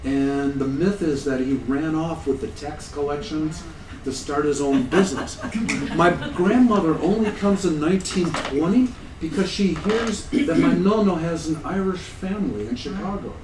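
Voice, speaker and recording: A man's voice: on the high side, normal speed, background noise. Man in his sixties in an echoey hall. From United States. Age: 60s. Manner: conversational, self-deprecating, often amused. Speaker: conference presenter. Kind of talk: academic conference talk, anecdotal. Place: lecture room at a conference. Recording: echoey room, distant mic.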